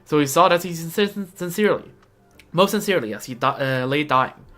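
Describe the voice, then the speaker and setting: A man with a bright, forward voice comments on a game live. English is his second language, and his talk is casual and halting, with some music behind it. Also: a little high. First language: not English. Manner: halting, casual, reacting aloud. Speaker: game streamer. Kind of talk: live game stream commentary, reading lore aloud.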